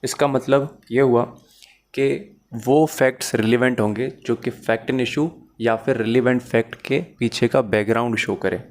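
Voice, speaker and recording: A man's voice: medium pitch, fairly even intonation, moderate pace, a little mellow. A man with a Pakistani accent giving a methodical, step-by-step explanatory lecture as a law teacher, mixing in English terms.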